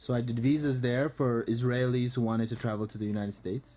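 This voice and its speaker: A man born in Armenia, whose first language is Armenian, speaks as a guest in a casual interview. His voice sits at an ordinary middle pitch, and he explains at length in a fluent, steady way, filling pauses with ums and uhs. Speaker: guest, diplomat.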